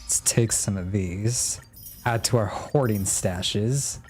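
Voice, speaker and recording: A man's voice: fairly low pitch, slow pace, a little mellow. A man streaming a video game with casual live commentary, tossing out exclamations and muttering as he reads along. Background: faint game music.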